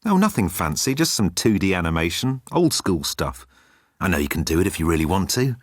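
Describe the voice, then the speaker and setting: Man playing a breezy, animated alien hitchhiker. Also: low-pitched, brisk, breathy edge, no room sound, studio quality, full character voice. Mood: coaxing. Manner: jaunty, slangy, animated.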